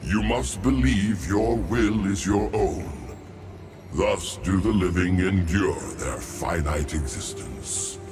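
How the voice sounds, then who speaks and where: Casting: imperious ageless game overlord, lowish pitch, unhurried measured menace, condescending and formal. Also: male voice. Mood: coldly inviting. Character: ancient otherworldly male ruler, imperious, coldly patient, condescending. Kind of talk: video game cutscene acting. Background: music.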